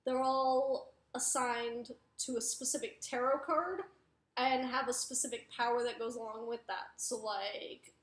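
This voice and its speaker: A young woman with a high voice and a mixed Wisconsin, New York and Arizona accent, talking casually and rambling as she corrects herself. The room around her adds a noticeable echo. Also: steady tone, unhurried, fairly bright tone. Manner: rambling, self-correcting, many fillers. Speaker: book reviewer. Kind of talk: casual chat. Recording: echoey room.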